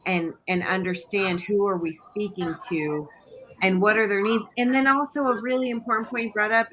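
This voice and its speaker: A woman's voice: medium pitch, sharp tone, rough recording. An American woman whose first language was not English, speaking earnestly as a guest on a live political panel. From United States. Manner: earnest, emphatic, with restarts. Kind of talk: live political discussion on a video call.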